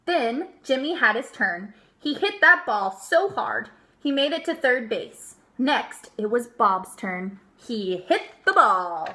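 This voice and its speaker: Bright, high-pitched woman reading aloud to children. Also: slow pace. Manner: expressive, emphatic. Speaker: storybook reader. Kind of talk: animated children's read-aloud.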